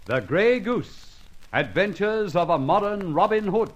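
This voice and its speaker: High-pitched dramatic radio serial announcer. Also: male voice, animated, measured pace, dull audio. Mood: dramatic, portentous. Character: dramatic serial announcer posing cliffhanger questions. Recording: old radio recording with faint music.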